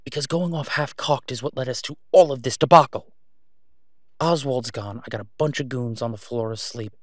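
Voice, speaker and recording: A man's voice: mid-range voice, normal speed, no room sound, clean studio sound, natural voice. A man playing a sardonic, exasperated but protective vigilante antihero in an audio roleplay, with a weary, clipped manner. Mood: frustrated. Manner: sarcastic, weary, clipped, emphatic when irritated. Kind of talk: solo audio roleplay acting, conversational.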